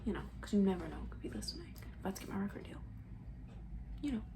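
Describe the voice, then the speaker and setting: A woman doing soft-spoken ASMR roleplay as a camp counselor, chatting in a gentle, breathy, rambling way that often slips into a whisper. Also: mid-range voice, expressive, fast. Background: banjo.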